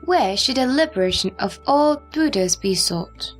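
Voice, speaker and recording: A woman playing an earnest bodhisattva who puts formal, measured questions to a sage in a scripture audio drama. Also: on the high side, expressive, soft background music. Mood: earnest, inquiring.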